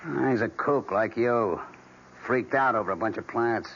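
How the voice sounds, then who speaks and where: An actor playing a brash, impatient husband, blunt, scornful and domineering, in an old-time radio drama. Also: male voice, medium pitch, moderate pace, a bit bright, lightly acted. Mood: suspicious, sneering. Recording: muffled, hissy vintage radio recording.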